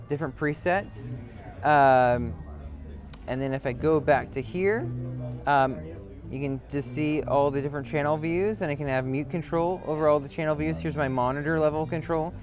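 A man's voice: on the high side, normal speed. A man demonstrating a product in an unscripted walkthrough, speaking as a brand representative. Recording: loud music behind the voice. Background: loud music.

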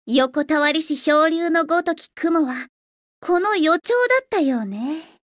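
A woman voicing a teenage gothic idol girl in a Japanese game, grandiose and sweetly shy, switching between pompous declarations and soft plain talk. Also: sits high, expressive, unhurried, dry recording, studio quality. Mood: playful, dramatic.

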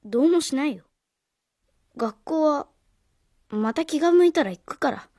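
Lady doing a moody little schoolboy for radio drama, high voice, curt, dry recording. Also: animated, moderate pace, darkish tone, no echo. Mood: sullen, curt. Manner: curt, reluctant, casual. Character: sullen, guarded young schoolboy. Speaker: voice actor.

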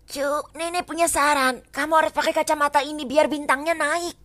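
A woman's voice: high-pitched, fairly even intonation, a little fast, a bit bright, no echo, studio quality. Woman playing a solemn old grandmother. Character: mysterious old grandmother, insistent advice-giver.

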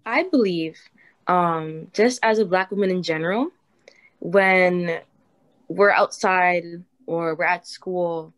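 A woman's voice: fairly low pitch, takes it slowly, fairly bright tone. A teenage girl student panelist speaking thoughtfully with many fillers on a video call. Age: teens. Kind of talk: casual panel discussion. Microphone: webcam video call.

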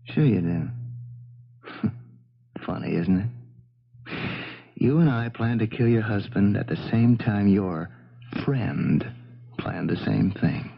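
An actor playing a cocky, sleazy lover and blackmailer, slangy and smug, in a 1970s radio drama. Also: male voice, fairly low pitch, expressive, takes it slowly, gravelly, long pauses, breathy edge, heavily acted. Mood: smug menace. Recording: muffled 1970s radio broadcast.

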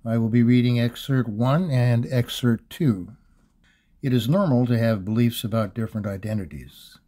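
A middle-aged man reading scripted e-learning narration in a measured, instructional manner. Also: medium pitch, dark tone. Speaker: voice-over narrator reading a demo.

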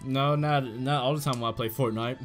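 Young guy on a gaming stream, teasing and hyping his teammate, mid pitch, music in the background. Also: steady tone, fairly quick. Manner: playful, teasing, hypes teammates. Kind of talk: casual gaming chat.

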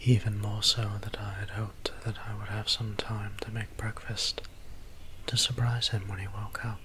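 A man playing a soft-spoken, melancholy vampire priest in an ASMR roleplay, musing in formal, old-fashioned words with a breathy near-whisper. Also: lowish voice, little pitch movement, moderate pace, slight background noise. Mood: frustrated. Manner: formal, archaic, musing diction.

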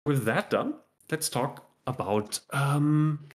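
A man in his thirties presenting a technical meetup talk from home over a video call, relaxed and self-deprecating, with lots of fillers and restarts as he demos software live. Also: medium pitch, takes it slowly.

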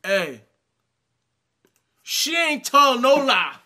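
A man's voice: very high-pitched, sing-song, drawn-out words, pushed and bright. A man in his thirties giving casual, emphatic reaction commentary, repeating phrases for emphasis. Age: 30s. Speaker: reaction video host. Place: small dim indoor room.

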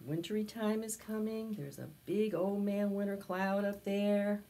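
An older woman hosting preschool storytime, reading a picture book aloud with expressive, drawn-out phrasing. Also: medium pitch. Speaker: library preschool storytime host. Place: small indoor space with decorated backdrop.

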